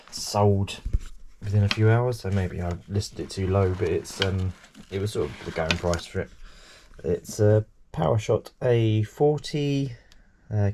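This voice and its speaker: Guy with a cold, bit nasal, lowish voice, rambles with lots of ums. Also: creaky, background noise. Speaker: eBay reseller vlogger. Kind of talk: casual chat to camera.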